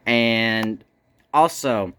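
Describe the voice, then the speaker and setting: Bright-voiced man chatting casually on camera. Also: mid-range voice, drawn-out words, clear, resonant tone. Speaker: amateur video host reviewing a gadget. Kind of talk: casual unscripted chat.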